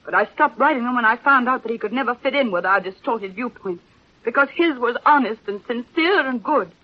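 Impassioned young-hearted reporter, high-pitched, emphatic, earnest, old radio drama, muffled sound. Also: female voice, animated, brisk. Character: impassioned newspaperwoman pleading for the man she loves. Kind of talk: 1930s-40s radio drama acting.

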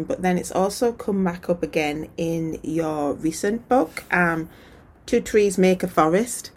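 A woman hosting a podcast interview, chatty and enthusiastic, with lots of fillers. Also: mid-range voice. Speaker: podcast host. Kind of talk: casual interview chat.

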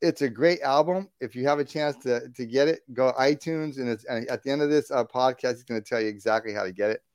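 A man's voice: mid-range voice, fast talker, few pauses, a little mellow, clear, resonant tone, no echo, clean studio sound. A man hosting a podcast interview, enthusiastic and rambling, restarting his sentences. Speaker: podcast host. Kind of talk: casual interview.

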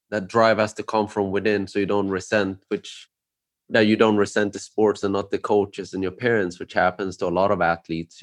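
A man from Sweden with a fairly low voice hosts a relaxed interview. He asks curious, meandering questions, leaning on "like" and "you know" as he works toward his point. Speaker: podcast host. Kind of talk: casual interview chat.

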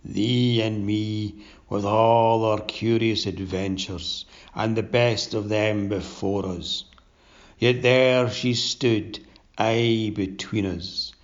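A man voicing a pompous, sinister undead sea captain, speaking in a low, slow, drawn-out rasp. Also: steady tone. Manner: pompous, sly oration. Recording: dull lo-fi recording.

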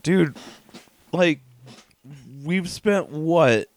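Goofy man with swooping, animated pitch. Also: extremely slow. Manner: goofy, slangy, profane. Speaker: podcast co-host. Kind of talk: casual joking chat.

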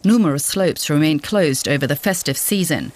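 Very low-voiced female news reporter. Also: normal speed, a little mellow, a little hiss or hum. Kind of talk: scripted report narration.

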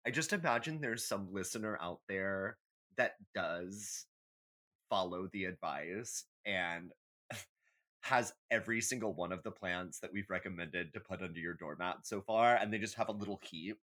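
A man co-hosting a podcast in casual, animated banter, joking as he goes. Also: deep voice, moderate pace. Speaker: podcast co-host.

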